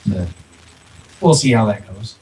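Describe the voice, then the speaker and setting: A man's voice: fairly low pitch, animated, unhurried, darkish tone. A man co-hosting a livestreamed podcast in casual conversation, with slightly echoey audio. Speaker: podcast co-host. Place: on a livestream with a webcam. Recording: echoey audio, faint background noise.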